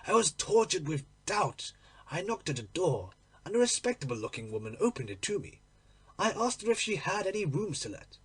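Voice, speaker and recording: A man reading a literary story aloud in an expressive, theatrical manner. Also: sits high, normal speed, slightly rough. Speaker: audiobook reader. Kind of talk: dramatic literary story narration.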